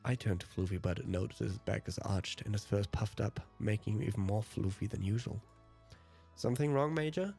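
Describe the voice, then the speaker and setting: A man's voice: lowish voice, steady tone, brisk, creaky, hardly any pauses, warm tone. A native German speaker, a man, narrating and commenting on a visual novel as a let's play host. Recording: music behind the voice.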